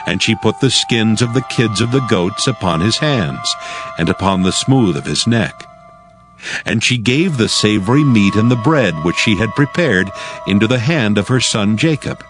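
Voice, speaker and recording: Low-pitched man narrating scripture, expressive. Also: normal speed, over music.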